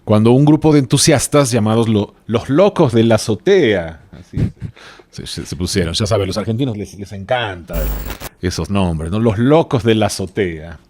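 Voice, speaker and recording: A man's voice: on the low side, normal speed, darkish tone. A man co-presenting a podcast, explaining things in a casual, joking, informal way.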